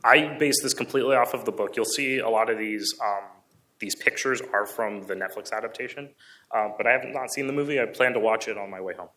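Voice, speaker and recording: Bright-voiced man giving an earnest talk. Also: fairly low pitch, brisk. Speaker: conference speaker, amateur radio hobbyist. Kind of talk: public presentation.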